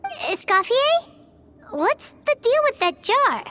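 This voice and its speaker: An actress voicing a tiny, brash, excitable fairy sidekick with a squeaky childlike voice for a video game. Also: female voice, takes it slowly, in a large hall, noisy background, full character voice. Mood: curious. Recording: loud music over voice.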